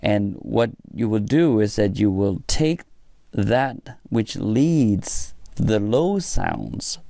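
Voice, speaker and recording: Man, creaky voice, very slow halting delivery, casual technical tutorial, dry close sound. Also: fairly low pitch, sing-song, dark tone, no echo. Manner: halting, pausing mid-sentence. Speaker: presenter of a hobby electronics tutorial. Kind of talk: informal hands-on technical explainer.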